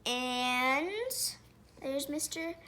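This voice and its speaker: Bright, high-voiced woman reading a storybook. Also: steady tone. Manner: pauses to describe pictures and question listeners. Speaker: storybook reader. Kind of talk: reading a children's picture book aloud.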